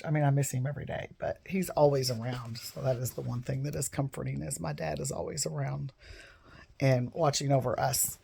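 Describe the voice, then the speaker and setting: A woman in her fifties, hoarse and froggy from a cold, chatting casually to camera at home and rambling off into digressions. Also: rumbling low, mellow. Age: 50s. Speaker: vlogger.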